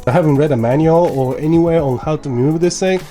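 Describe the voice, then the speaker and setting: A man's voice: fairly high pitch, fast talker, warm tone, faint music behind. A young man with a stuffy nose from a cold, chatting casually as he explains a motorcycle install step by step in his garage. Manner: chatty, explains steps as he works. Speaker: motorcycle vlogger. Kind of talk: casual how-to vlog. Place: home garage.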